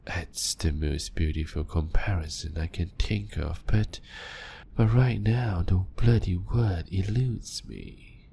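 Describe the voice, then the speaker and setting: A man voicing an ancient male vampire doctor, calm and clinical, speaking softly and often in a whisper in an audio roleplay. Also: low voice, gravelly, a little hiss or hum, full character voice. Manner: soft-spoken and measured, often whispering.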